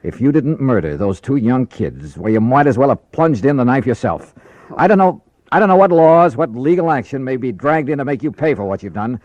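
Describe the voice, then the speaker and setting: An actor playing a tough, wry but decent American insurance investigator, acting and narrating in a vintage radio drama. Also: male voice, mid-range voice, expressive, a little fast, no room sound, highs cut off, close to an everyday voice. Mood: stern, indignant. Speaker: lead and narrator.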